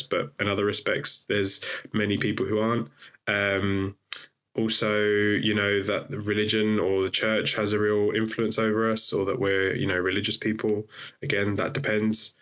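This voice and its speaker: Low-voiced man, interviewee, measured and hedging, little pitch movement. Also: fairly bright tone. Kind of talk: thoughtful interview answer.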